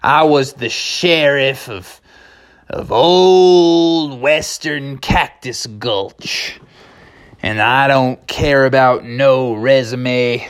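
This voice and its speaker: A man voicing a folksy, self-assured cowboy horse, a tough old-west sheriff type with a slow Southwestern drawl, in a children's story. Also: mid-range voice, clear, resonant tone. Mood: gruff, determined.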